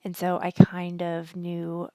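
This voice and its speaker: A woman with a lowish, slightly hoarse voice gives casual stock commentary over a screen share. She is chatty and thinks aloud, at an unhurried pace. The sound is dry and close. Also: monotone, dark tone, no room sound. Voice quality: husky, worn voice. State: partly hoarse from singing at a concert. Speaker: stock trading educator, video host.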